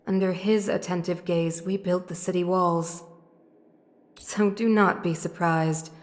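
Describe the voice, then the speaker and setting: Actress as regal, low-voiced female ruler in reverberant hall. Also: steady tone, close to an everyday voice. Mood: stately, probing. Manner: formal, stately, measured. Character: regal, composed middle-aged female supreme ruler, probing and guarded. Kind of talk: English video game voice acting. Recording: long hall reverb with music underneath.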